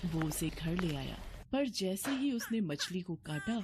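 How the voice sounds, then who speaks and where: A woman's voice: lowish voice, sing-song, a little slow, background noise. A woman narrating a scripted devotional story as a storyteller. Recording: music bed behind voice.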